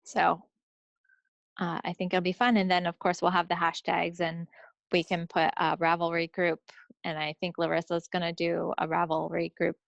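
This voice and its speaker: The low, gravelly woman you would hear co-hosting a laid-back hobby podcast from home, slightly muffled. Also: completely flat delivery, moderate pace, no echo. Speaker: podcast co-host. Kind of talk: casual chat.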